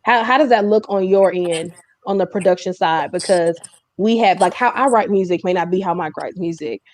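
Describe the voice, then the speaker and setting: A woman's voice: fairly low pitch, moderate pace, a little mellow, no echo. A woman, hosting and asking questions in a casual, conversational interview. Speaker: host interviewer.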